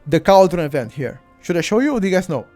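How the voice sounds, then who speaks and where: A man with a Bulgarian accent and a fairly high voice walks viewers through a game live. He talks to his chat directly and loosely, circling back and repeating his words as he goes, with faint music underneath. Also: very animated, fast talker, a little mellow, no room sound. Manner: chatty, addresses chat directly, repeats himself. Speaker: game guide streamer. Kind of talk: live-streamed gameplay walkthrough.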